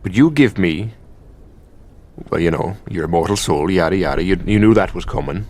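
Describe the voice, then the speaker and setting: An Irish elder demon boyfriend , played by a man, speaks in a fairly low voice. He is exasperated and possessive, mocking in a halting, conversational way with plenty of swearing. The delivery is that of an intimate ASMR roleplay monologue. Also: expressive, darkish tone. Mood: possessive, bargaining.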